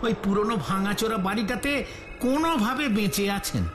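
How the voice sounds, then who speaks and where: A man's voice: high-pitched, brisk, background noise, lightly acted. A man voicing an aggrieved, gossipy village peasant in a cartoon, complaining emphatically. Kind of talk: Bengali cartoon dubbing. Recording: echoey, music behind the voice.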